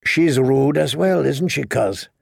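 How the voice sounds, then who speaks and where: A man voicing a cocky, cruel highborn knight with a mocking, sneering drawl for an audiobook. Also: slow pace, vocal fry, no room sound, clean studio sound, exaggerated voice.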